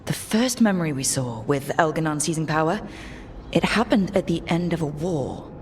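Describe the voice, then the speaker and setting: A woman's voice: low voice, expressive, normal speed, a little mellow, a little hiss or hum. A woman voicing a compassionate, level-headed leader of a fantasy band in a video game cutscene. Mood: measured, practical.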